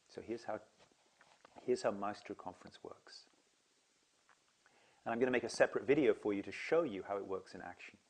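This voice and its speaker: A man's voice: mid-range voice, a little mellow. A man who leads seminars, explaining to camera in an earnest, enthusiastic way. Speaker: seminar leader.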